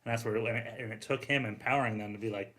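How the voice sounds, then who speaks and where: Low-voiced man chatting informally. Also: fairly even intonation, fast talker, a bit bright. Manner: informal, frequent "like", self-interrupting. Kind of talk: casual conversational storytelling.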